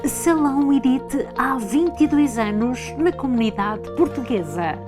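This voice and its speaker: High-voiced woman announcing an ad. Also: animated, a little slow. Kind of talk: scripted commercial voiceover. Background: music.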